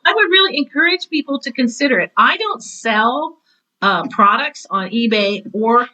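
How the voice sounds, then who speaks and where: Bright, sharp-voiced woman in her sixties. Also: on the high side, animated, moderate pace, rough recording. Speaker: retired teacher and author talking on a podcast. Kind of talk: casual conversational podcast chat.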